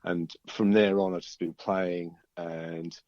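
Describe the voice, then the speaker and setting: British bloke chatting in an interview, lowish voice, rambly musician type, bit muffled. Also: male voice, level tone, takes it slowly, a little mellow, no room sound. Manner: chatty, rambling storyteller, often chuckling. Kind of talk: casual interview chat.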